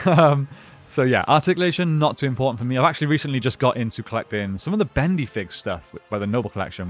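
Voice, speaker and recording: A man with a mid-pitched voice chats through a collectible review. He is informal and unscripted, thinking aloud as he handles the item. Music plays behind his voice. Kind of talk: casual unboxing and review chat.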